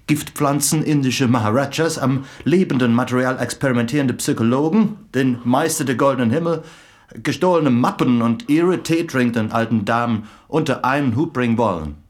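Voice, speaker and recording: An actor playing a sceptical, inquisitive investigator in a radio play, probing with questions. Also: male voice, mid-range voice, moderate pace, no echo, studio quality, lightly acted. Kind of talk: German radio play acting.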